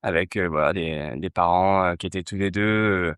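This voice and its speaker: Man from Marseille, 30s, low smooth voice, hesitant podcast guest, dry close recording. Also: normal speed, a bit bright, dry recording. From Marseille, France. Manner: hesitant, many fillers and restarts. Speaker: festival co-founder, guest. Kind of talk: casual interview talk.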